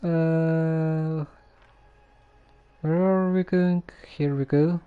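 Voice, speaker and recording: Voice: medium pitch, steady tone, very slow, clear, resonant tone, phone-quality sound, soft background music. A game streamer giving casual live commentary in a musing, hesitant manner.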